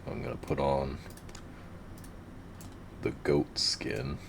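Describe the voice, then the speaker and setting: A man with a very deep voice giving laid-back, rambling, profane commentary over his game. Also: expressive, slow, vocal fry, background noise. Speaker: gamer narrating his play. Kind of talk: casual gameplay commentary.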